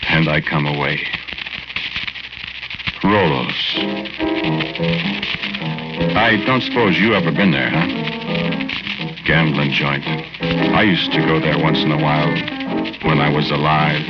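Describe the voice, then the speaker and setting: An actor playing the ghost of a rough-spoken, earnest tough guy who tells his story in slangy, wry 1940s radio drama style. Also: rumbling low. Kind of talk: 1940s radio drama acting, first-person narration. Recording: muffled old 1940s radio recording, music.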